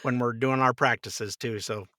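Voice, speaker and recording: A man with a bright, forward voice talks casually as a guest on a podcast. His manner is lighthearted and folksy, and the sound is close and very dry. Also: mid-range voice, fairly even intonation, normal speed, no echo. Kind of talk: casual chat.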